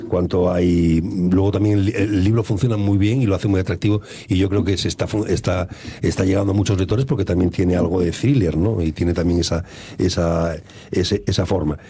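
A male radio host asking long, measured, winding questions in an interview. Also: sits low, steady tone, warm tone, a little hiss or hum.

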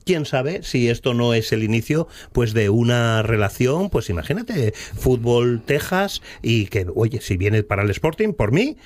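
A man's voice: medium pitch, big pitch swings, a little slow, few pauses, a little mellow, no room sound. A man chatting on radio in a studio, playful and joking as he introduces his companions. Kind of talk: radio interview chat.

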